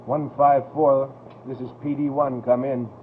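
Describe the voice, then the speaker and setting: A man's voice: medium pitch, level tone, unhurried, lightly acted. A man playing a dry police radio dispatcher on night shift, in old-time American radio drama style. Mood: businesslike. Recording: muffled, hissy vintage radio recording.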